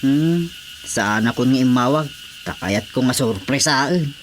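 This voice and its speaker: A woman's voice: a bass voice, expressive, measured pace, a little hiss or hum. A woman playing a teasing, chatty countrywoman in an Ilocano radio comedy drama, speaking with an Ilocano accent.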